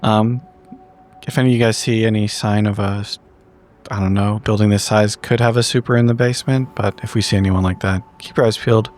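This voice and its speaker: Slightly low-voiced man roleplaying casually. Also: fairly even intonation, a little fast, darkish tone. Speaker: player in a tabletop game.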